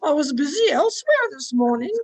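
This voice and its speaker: A woman's voice: sits high, big pitch swings, brisk. A woman playing a crusty old Scottish housemaid in radio drama, tart and impudent. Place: at home, recorded over video call.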